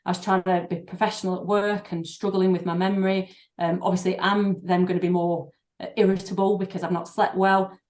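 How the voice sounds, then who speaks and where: A middle-aged woman, a doctor and breathing therapist, speaking in a podcast interview, thoughtful and halting with many fillers and restarts. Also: on the low side, level tone, a little mellow. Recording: echoey room.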